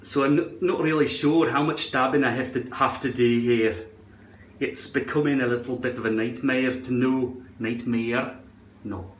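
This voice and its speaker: A man's voice: mid-range voice, fairly even intonation, normal speed. A man rambling through a casual product tutorial in a shaky put-on Scottish accent, repeating words and swearing now and then. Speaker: vlogger demonstrating a hairpiece adhesive product. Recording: roomy, echoing indoor sound.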